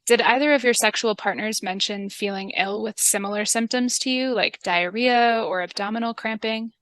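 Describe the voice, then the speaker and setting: A woman with a calm, polite and methodical manner, giving instructions in a scripted public health training video. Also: a little high, moderate pace, no echo. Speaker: public health interviewer and narrator.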